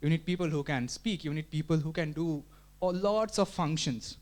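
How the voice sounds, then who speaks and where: A man's voice: fairly high pitch, normal speed, a little mellow. A man giving an explanatory talk at a community meetup, thinking aloud with frequent restarts and filler words. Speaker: community presenter. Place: meetup room.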